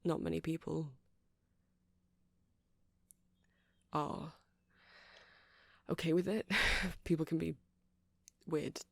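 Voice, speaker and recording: A man's voice: a little high, level tone, takes it slowly, vocal fry, dry recording, exaggerated voice. A man playing a shy, earnest, nerdy vampire in an ASMR roleplay, speaking in a hesitant, rambling, stammering way. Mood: earnest, defensive. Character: shy, earnest, nerdy male vampire running a music shop.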